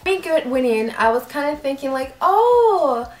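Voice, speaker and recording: A young woman vlogger telling a story casually, acting out her own reactions with lively quoted voices. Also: sits high, fairly quick. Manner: animated, acts out quoted reactions. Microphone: camera mic. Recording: roomy echo.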